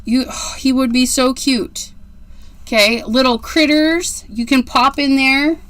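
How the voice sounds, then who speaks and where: A woman's voice: high-pitched, steady tone, slow pace, a bit bright. A woman in her forties hosting a casual card-making livestream, chatty and rambling, gushing over how cute things are. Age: 40s. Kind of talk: casual livestream craft demo.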